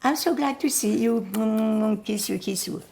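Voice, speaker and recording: A woman's voice: on the high side, measured pace, vocal fry, darkish tone, pitched above the performer's own voice. An older woman voicing her elderly mother greeting her daughter with gushing affection. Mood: overjoyed.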